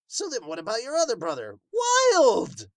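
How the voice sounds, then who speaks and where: A man voicing an urgent, alarmed warrior companion in a manga dub, excitable and dramatic. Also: sits high, moderate pace, no room sound, studio quality, voice pushed up, full character voice.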